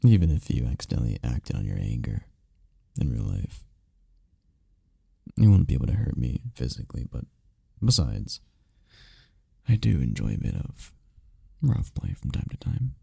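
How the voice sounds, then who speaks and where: Man acting as male incubus lover, very dark husky voice, soothing, teasing, intimate ASMR roleplay, close dry mic. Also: very animated, normal speed, pauses often, dry recording, full character voice. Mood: teasing, flirtatious. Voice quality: gravelly, dark low voice. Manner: soft, soothing, teasing, lapses into whispers. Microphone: close microphone, dry.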